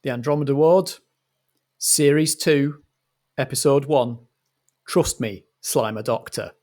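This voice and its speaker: A man hosting a role-play podcast as compere, with a measured, theatrical scripted delivery. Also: mid-range voice, a little mellow. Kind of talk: scripted narration and introduction.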